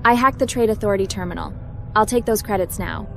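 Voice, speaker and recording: A woman plays a young cyber runner in a sci-fi roleplay game. She is casual and self-assured, speaking at an average pitch while music plays clearly behind her. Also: fast talker, a bit bright. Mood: purposeful. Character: confident, casual young female hacker and space adventurer. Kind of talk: roleplay game protagonist dialogue and musings.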